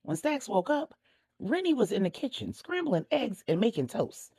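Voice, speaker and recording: Lively, husky woman storyteller. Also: a little high, moderate pace, no echo, studio quality. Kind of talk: expressive story narration, conversational asides.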